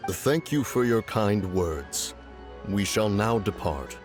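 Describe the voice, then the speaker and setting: An actor playing a solemn, dignified ancient immortal in a video game, speaking in a formal, measured way. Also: male voice, on the low side, animated, over music. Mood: grave, courteous.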